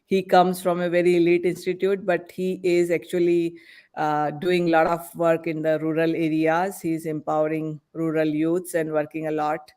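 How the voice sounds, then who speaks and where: A woman hosting an online panel discussion, introducing guests with hesitant, halting phrasing. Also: deep voice, level tone, a little mellow, clean tone. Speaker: podcast host introducing guests.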